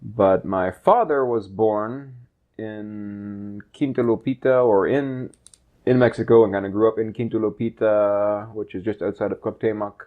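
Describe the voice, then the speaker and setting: A man in his thirties from Canada and Texas, speaking unscripted in a halting, reflective way as he discusses his personal history. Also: lowish voice, steady tone. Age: 30s. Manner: halting, reflective, rephrases as he goes. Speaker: project co-creator and interviewer. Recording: dull, lo-fi sound.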